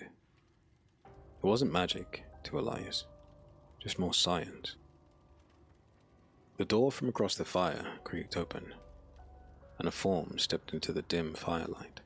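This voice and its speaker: A man narrating a dark fantasy audiobook in a solemn, measured, dramatic manner. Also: deep voice, husky.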